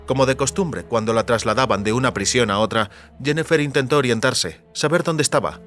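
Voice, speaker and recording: A man of medium pitch narrates a fantasy audiobook. His delivery is measured and literary, with faint music running underneath. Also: a bit bright.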